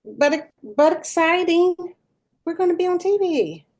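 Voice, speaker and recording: A woman's voice: very high-pitched, animated, measured pace, a little mellow, full character voice. A woman playing a tone-deaf, attention-seeking school principal in her forties in a sitcom table read, slangy and dismissive. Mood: breezy, self-satisfied. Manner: self-satisfied, dismissive, slangy.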